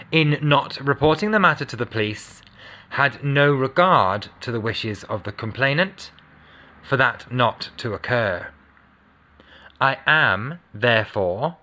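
A man reading a legal judgment aloud in a measured, formal manner. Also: medium pitch, sharp tone. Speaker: reader of a court judgment.

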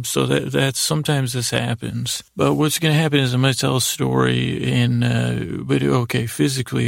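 Male bedtime storyteller, heavy vocal fry, flat delivery, whimsical rambling with halting restarts, close dry sound. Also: medium pitch, no echo. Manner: meandering, halting, stammering and repeating words; whimsical, lightheartedly silly. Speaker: podcast host telling bedtime stories. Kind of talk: rambling, improvised bedtime monologue.

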